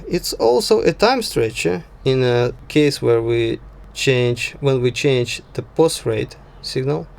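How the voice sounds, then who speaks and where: Slow, creaky-voiced man teaching software. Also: fairly high pitch. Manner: halting, frequent "yeah" check-ins. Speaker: sound design tutor. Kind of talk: unscripted software tutorial narration.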